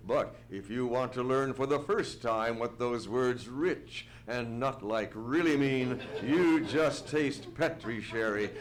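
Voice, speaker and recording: A man plays an easygoing announcer from a 1940s radio show. He has a medium-pitched voice and chats in folksy, genial sales patter. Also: close to an everyday voice.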